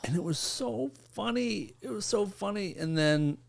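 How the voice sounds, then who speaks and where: A man's voice: high-pitched, expressive, normal speed, gravelly. A man co-hosting a podcast in loose, casual banter, with an irreverent way of talking and some swearing. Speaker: podcast co-host.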